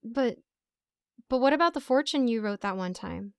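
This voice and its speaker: A woman voicing an anxious, halting young woman in a dramatic horror story. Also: on the high side, expressive, vocal fry, smooth, dry recording, studio quality. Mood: hesitant, uneasy. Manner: halting, pleading. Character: anxious lovestruck young woman texting her boyfriend.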